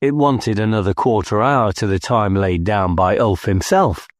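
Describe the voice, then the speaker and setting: A man's voice: fairly low pitch, normal speed, no room sound, studio quality. A man reading scripted first-person story narration as an audiobook narrator.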